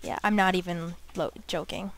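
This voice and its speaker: A woman's voice: lowish voice, normal speed, slight background noise. A woman chatting casually while playing a game, giggly and blunt, swearing when things go wrong, on a headset mic. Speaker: let's play co-host. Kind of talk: casual gaming chat.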